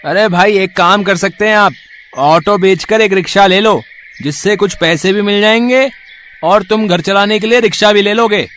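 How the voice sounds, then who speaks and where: A man's voice: high-pitched, normal speed, fairly bright tone, a little hiss or hum, voice pushed up. A man voicing a friendly auto dealer who questions and advises emphatically in an acted moral story. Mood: helpful, advising.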